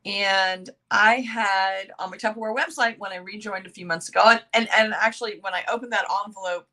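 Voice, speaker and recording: A middle-aged woman with a bright, forward voice, a little raspy from recent yelling. She speaks earnestly over a video call and often stops and restarts her sentences. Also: mid-range voice, steady tone. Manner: halting, earnest, with many restarts. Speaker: sales consultant guest. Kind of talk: personal sharing on a video call.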